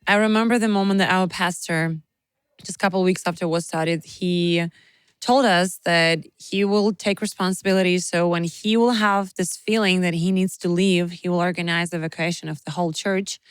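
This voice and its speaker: Ukrainian woman in her 20s, low-ish smooth voice, dry close sound, talks earnest w lots of "like". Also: steady tone, dry recording. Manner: earnest, many "like" fillers, halting non-native English. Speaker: youth pastor. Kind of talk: interview guest, personal testimony.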